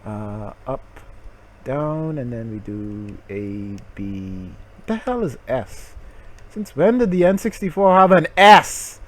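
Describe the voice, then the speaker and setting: Theatrical male streamer, wildly swooping pitch. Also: very animated, slow pace. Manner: mock-grandiose, dramatic, with muttered asides; sweary. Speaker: livestream host. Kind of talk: theatrical game-stream commentary.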